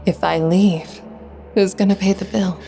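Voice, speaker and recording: A woman's voice: mid-range voice, fairly even intonation, takes it slowly, creaky, soft background music. A woman playing a tender, teasing wife in a dream, in an intimate audio drama. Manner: soft, affectionate, playful.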